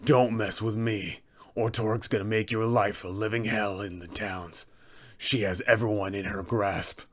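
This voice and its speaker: Man voicing a slow, husky, cold undead killer. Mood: cold menace. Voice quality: low, breathy. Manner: slow, hushed, measured threats. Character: cold, calm, menacing male undead killer posing as trader. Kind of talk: villain monologue for a tabletop roleplaying game.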